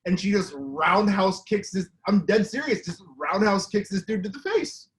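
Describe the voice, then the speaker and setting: A man's voice: high-pitched, lively intonation, moderate pace, fairly bright tone. A man chatting casually as a podcast co-host. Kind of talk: casual chat.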